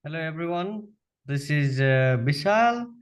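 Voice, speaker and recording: A man from Nepal introducing himself as a new member, hesitant and pausing with fillers. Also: a little high, lively intonation. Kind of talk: self-introduction on a video call.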